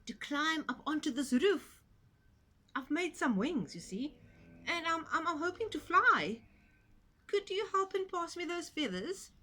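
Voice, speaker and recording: Storybook piglet, young and male, chirpy and hopeful, sailing up high; woman reader doing the voice. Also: a little slow, faint music behind, exaggerated voice. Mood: breathless. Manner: bright, breathless excitement.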